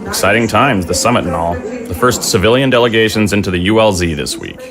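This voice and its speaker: An actor playing a measured, slightly weary middle-aged father in an audio drama. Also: fairly low pitch, animated, over music, a crowd in the background, lightly acted. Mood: reserved, reflective.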